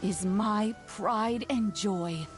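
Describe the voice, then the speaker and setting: A serene old grandmother for a video game, doting and protective. She takes her time over each phrase in a gentle, measured way, with music clearly playing underneath. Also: mid-range voice. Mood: proud, tender. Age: older. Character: kindly old granny oni, fondly protective, serene.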